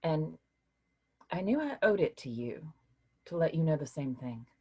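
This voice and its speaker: Husky low voice, woman acting a careful tired professor lady, caring, play reading, kinda hesitant. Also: big pitch swings, measured pace, darkish tone, muffled recording, full character voice. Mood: gentle, earnest. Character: cautious literature professor, woman, weary but caring.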